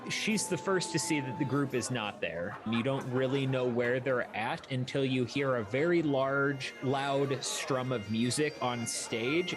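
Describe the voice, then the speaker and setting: A man runs a tabletop roleplaying game as game master, describing scenes in a conversational, explanatory way. His voice sits at an ordinary male pitch, with music clearly playing behind him.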